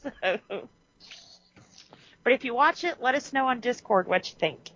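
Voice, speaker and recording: A woman's voice: a little high, animated, a little slow, a bit bright, slight background noise. Rambling self-correcting woman on lo-fi audio. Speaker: podcast co-host. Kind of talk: casual chat.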